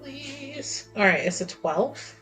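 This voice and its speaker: A woman chats as a player in a tabletop game. Her pace is slow as she thinks aloud in a playful, casual way, with soft music in the background. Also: sits high, lively intonation, poor audio.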